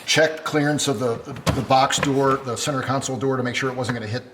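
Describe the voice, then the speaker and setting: A man co-hosting a casual how-to vlog, explaining a fitting problem in a measured, detailed way. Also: medium pitch, steady tone, noisy background. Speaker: co-host helping with the install. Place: garage.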